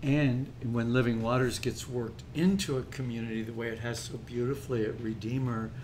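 A man's voice: medium pitch, fairly even intonation, normal speed, darkish tone, slight background noise. An older man leading a ministry, talking earnestly and reflectively in a casual podcast discussion. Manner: earnest, reflective, speaks in long thoughtful runs. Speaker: ministry leader.

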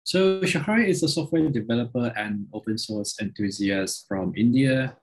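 Low husky man introducing a speaker. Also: rough recording. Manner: brief, formal introduction. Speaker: moderator. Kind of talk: introducing a panelist. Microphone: video call.

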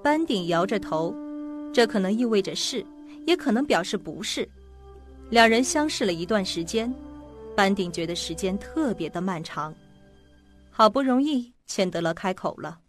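A woman's voice: medium pitch, lively intonation, normal speed. A woman narrating a scripted suspense radio drama. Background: music behind the voice.

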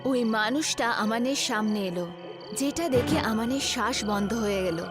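A woman narrating a scripted horror story in a dramatic storytelling manner, with loud music behind her. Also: a little high, normal speed. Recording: loud music bed over the voice.

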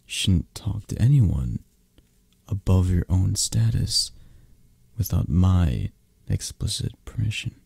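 A man playing a possessive, menacing mafia boss lover in an ASMR roleplay, with a breathy, gravelly voice and a halting, condescending manner. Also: sits low, very animated, a little mellow, no echo, full character voice. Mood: controlled jealousy. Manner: slow, halting, controlling, condescending. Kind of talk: ASMR roleplay, intimate close-up acting.

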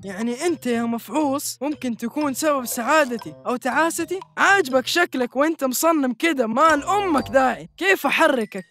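A woman's voice: high voice, expressive, fairly quick, hardly any pauses, a bit bright, faint music behind. A woman voicing a brash, mouthy little boy in a children's cartoon, taunting, with a Saudi accent.

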